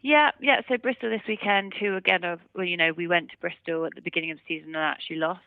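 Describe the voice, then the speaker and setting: A woman's voice: lowish voice, fairly even intonation, fast talker, dry recording. A woman chatting casually as a rugby player being interviewed over the phone, giving loose, run-on answers. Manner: hedging, loose run-on answers with "kind of" and "you know". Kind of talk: casual post-match interview chat. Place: phone call. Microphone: telephone. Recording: muffled narrowband phone line.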